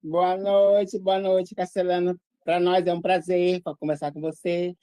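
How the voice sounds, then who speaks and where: Low, mellow-voiced woman pageant coordinator. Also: flat delivery, measured pace, no echo. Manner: warm, repeats herself while searching for words. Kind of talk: interview answers. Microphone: video call.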